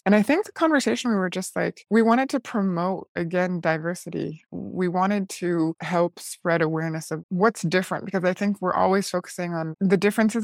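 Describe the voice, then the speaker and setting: A woman's voice: fairly low pitch, creaky, no room sound. Chatty young woman, dry close mic. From United States. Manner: chatty, curious, frequent "like" fillers. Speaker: guest. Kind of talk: casual interview conversation.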